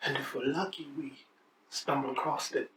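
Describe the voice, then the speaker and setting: An actor playing a brooding, searching man in a dramatic monologue, halting and often falling to a breathy near-whisper. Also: medium pitch, steady tone, fairly bright tone, natural voice. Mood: pensive, searching. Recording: distant echoey room, faint noise.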